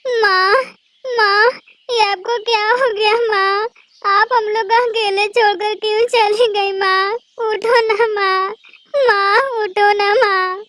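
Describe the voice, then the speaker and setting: A woman's voice: extremely high pitch, fairly even intonation, takes it slowly, pushed and bright, slight background noise, exaggerated voice. A woman voicing a sad, pleading young girl bird in a Hindi cartoon. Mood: tearful, pleading. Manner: pleading, repetitive, plaintive.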